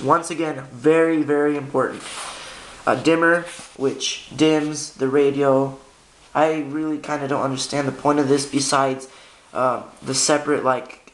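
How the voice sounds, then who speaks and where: Man with flat, hesitant, casual delivery. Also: medium pitch. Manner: hesitant, self-correcting, informal. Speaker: hobbyist demonstrating a radio. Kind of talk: casual unscripted gear walkthrough. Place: indoor room by a window. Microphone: handheld camera's built-in microphone. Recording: noisy amateur camera audio with clicks.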